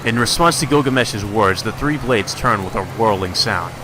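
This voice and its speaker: A man's voice: fairly low pitch, expressive, moderate pace, background noise. A man doing a let's play, reading a visual novel aloud and breaking off for irreverent, sweary asides. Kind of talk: narrating a visual novel with crude off-the-cuff commentary.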